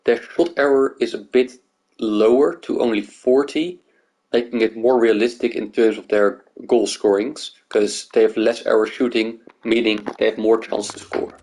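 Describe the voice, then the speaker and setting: A man's voice: fairly low pitch, fairly even intonation, moderate pace, a little mellow, poor audio. A man from the Netherlands and Greece, hosting a gaming video and thinking aloud in loose, rambling casual commentary.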